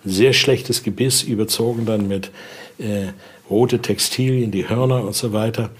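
Older man, low voice, explaining a collection. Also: fairly even intonation, normal speed. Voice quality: non-native-sounding German with grammar slips. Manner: frequent questioning tags at sentence ends. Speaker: Voodoo researcher and collector. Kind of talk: explaining collection items to visitors in conversation.

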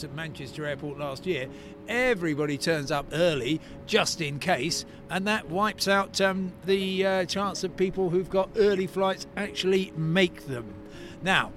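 A British man with a high-pitched voice gives chatty, off-the-cuff travel news as a podcast host. He speaks on location outdoors, drifting into asides as he goes, with music clearly present in the recording. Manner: chatty asides, plane-spotting digressions. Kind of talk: unscripted on-location news commentary. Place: outdoors at an airport.

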